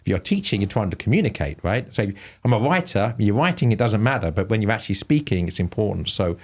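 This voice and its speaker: A man with a softened Cockney accent, a writer talking as a guest in a casual conversation, emphatic and prone to repeating words as he starts a thought. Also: fairly low pitch, very animated, fast talker, slightly rough. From England.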